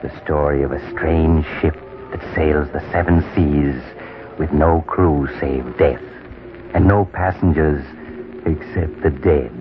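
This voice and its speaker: A man's voice: a bass voice, steady tone, slow pace, music in the background. A man playing a sinister, courteous storyteller in 1940s radio horror, theatrical and ominous, on a muffled old recording. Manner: theatrical, ominous, mock-polite. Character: sinister, courteous male storyteller host of eerie tales.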